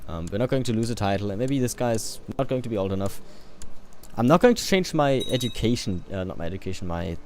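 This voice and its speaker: A man's voice: lowish voice, animated, fast, a little mellow, a little hiss or hum. A man giving casual gameplay commentary, offhand and joking, who reads game events aloud as he plays. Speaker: let's play host.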